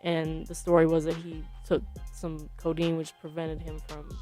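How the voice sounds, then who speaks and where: A woman with a slightly low voice answers as an interviewed author. She explains carefully and hesitantly, choosing her words, over faint music. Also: monotone, moderate pace, mellow. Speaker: journalist and author being interviewed.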